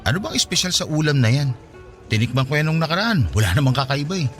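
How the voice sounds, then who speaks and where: An actor playing a persistent, teasing young boyfriend in a casual, prodding radio drama style. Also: male voice, on the high side, expressive, fast, fairly bright tone, faint music behind.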